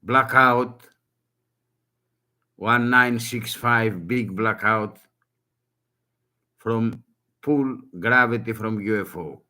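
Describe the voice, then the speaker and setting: A man's voice: mid-range voice, drawn-out words, pauses often, sharp tone. An older man with a Greek accent, a native Greek speaker, making an urgent public appeal in broken English with short repeated phrases. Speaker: self-made video appellant.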